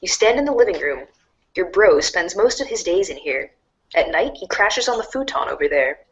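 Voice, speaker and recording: A woman's voice: fairly low pitch, sing-song, normal speed, slightly rough, muffled recording. A woman narrator reading a dramatic storybook-style script.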